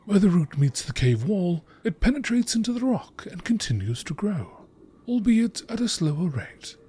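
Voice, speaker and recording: A man reading scripted lore in a nature documentary narration style, with measured, deliberate phrasing. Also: sits high, very animated, warm tone. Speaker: video creator reading game lore aloud. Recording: clearly noisy background.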